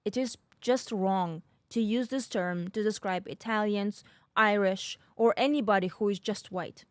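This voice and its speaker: A woman's voice: mid-range voice, a little slow, no room sound, studio quality. A woman from the North Caucasus whose first language is a North Caucasian language, hosting a podcast as an explanatory monologue with wry, ironic asides. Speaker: podcast host.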